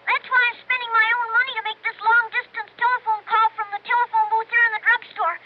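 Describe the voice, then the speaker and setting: A woman's voice: very high-pitched, little pitch movement, quick pace. A woman playing an earnest, polite young boy on the telephone in a 1950s radio drama. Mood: hurried, anxious. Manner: polite, breathless, eager. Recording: noisy, dull old radio recording.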